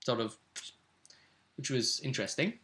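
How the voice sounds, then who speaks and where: A man with a bright, forward voice works as game master. He recaps a tabletop role-play adventure conversationally, like a storyteller who slips into quoted dialogue. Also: mid-range voice, moderate pace.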